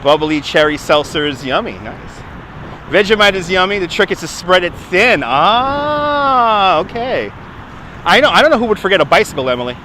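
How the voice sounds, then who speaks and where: Man, New York accent, high bright voice, chatty livestream host, outdoors on a phone, faint street noise. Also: very animated. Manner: chatty, answering viewers, quick laughs. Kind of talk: casual live walking stream, answering chat. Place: outdoors on a city waterfront. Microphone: phone on a handheld gimbal.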